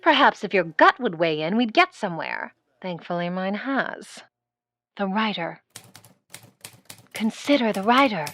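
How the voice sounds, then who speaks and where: An actress playing an ambitious, sardonic aspiring film star in a 1940s-style noir audio drama, dry and theatrical. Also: female voice, medium pitch, expressive, measured pace, long pauses, fairly bright tone, no echo. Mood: insistent.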